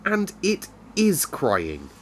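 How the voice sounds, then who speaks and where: A man's voice: fairly high pitch, huge pitch swings, drawn-out words, slight background noise, voice pushed up, heavily acted. A man voicing a stubborn young storybook girl, speaking earnestly and a little hesitantly. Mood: nervous wonder.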